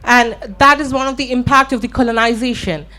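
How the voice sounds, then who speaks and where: Indian-accented woman, earnest and halting. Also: a little high, fairly even intonation, pushed and bright. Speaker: audience member asking a question. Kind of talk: long spoken question at a live event. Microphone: shared handheld event microphone.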